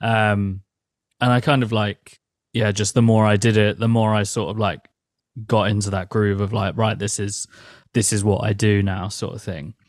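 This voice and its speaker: A man's voice: fairly low pitch, flat delivery, moderate pace, no room sound, clean studio sound. A young man, a metal band's vocalist, talking casually as a guest and filling his speech with "like". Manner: rambling, heavy use of "like", laughs easily. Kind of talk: casual interview chat.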